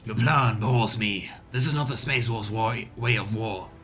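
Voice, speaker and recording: Man voicing a grandiose, lower-pitched space wolf warrior. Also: unhurried, fairly bright tone, a little hiss or hum. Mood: scornful. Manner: grandiose, declamatory. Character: boastful, bloodthirsty male space warrior of a wolf pack. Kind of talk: dramatic video game character reading.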